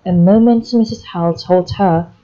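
A woman's voice: on the low side, a little slow, dark tone. A woman reading stage directions as scripted narration. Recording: dull, muffled audio.

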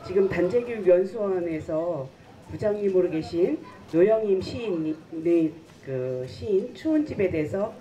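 A middle-aged woman reads a poem calmly into a handheld microphone at an outdoor stage. Her voice is low and warm. The sound comes across distant and a little muffled, with faint outdoor noise. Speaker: poetry reader. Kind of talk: poetry recitation.

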